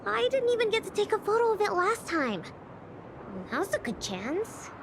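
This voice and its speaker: An actress playing a shy, curious teenage girl researcher in an anime-style game, hesitant and soft-hearted, often trailing off. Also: very high-pitched, big pitch swings, moderate pace, background noise, full character voice. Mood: hesitant, pleading.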